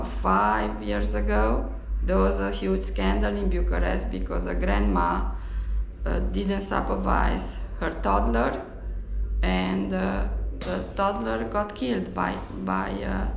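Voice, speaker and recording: A woman from Romania with a Romanian accent tells a personal story in a halting, reflective way, recorded on an action camera in a roomy space. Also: lowish voice, vocal fry. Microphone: GoPro camera. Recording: roomy echo, faint background noise.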